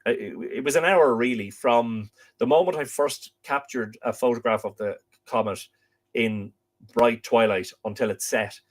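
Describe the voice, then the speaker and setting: A middle-aged man from Ireland, croaky from a chest infection, chatting casually as host of a live stream and rambling with lots of fillers and restarts. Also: medium pitch, moderate pace.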